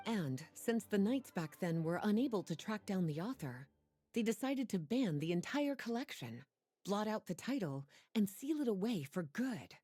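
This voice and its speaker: A woman voicing a poised, playful and knowing sorceress-librarian in a video game, with soft, breathy, unhurried, teasing delivery. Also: mid-range voice, sing-song, soft background music. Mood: knowing, explanatory. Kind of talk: video game character dialogue.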